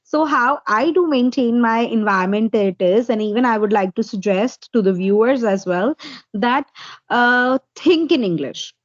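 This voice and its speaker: A woman's voice: a little high, moderate pace, dry recording, highs cut off. A woman from India, a native Hindi speaker with an Indian accent, answering interview questions as an English tutor and laying out her points one by one. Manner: explains step by step, numbering points.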